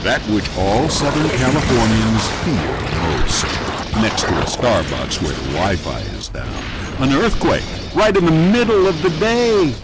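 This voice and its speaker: A man's voice: medium pitch, huge pitch swings, unhurried, over loud music, rough recording. A man playing a mock-grave, tongue-in-cheek narrator of a campy cliffhanger radio serial. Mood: mock suspense. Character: over-the-top male cliffhanger announcer, mock-grave and wry.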